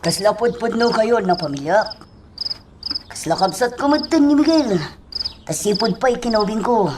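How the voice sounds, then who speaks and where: A woman's voice: a little high, animated, moderate pace, noisy background, natural voice. A woman playing a caring, earnest young neighbour and loyal family friend in a radio drama, with an Ilocano accent. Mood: reassuring. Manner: solicitous, earnest.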